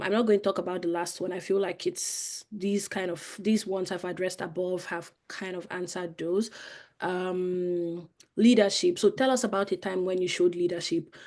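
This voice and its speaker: A young woman with a Nigerian accent talks through interview tips in a live webinar. Her delivery is conversational and explanatory, often pausing on fillers as she gathers her thoughts. Her voice sits at an ordinary, mid pitch. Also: a little mellow. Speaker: webinar presenter.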